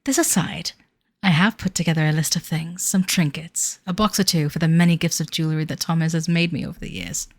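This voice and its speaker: Woman doing a young bride to be from the 30s reading her diary, kinda low voice, proper and wistful, bit uneasy, very dry recording. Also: fairly even intonation, no room sound. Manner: formal, wistful, measured period diction. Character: young bride-to-be in 1930s, devoted but uneasy. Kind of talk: audio drama diary reading.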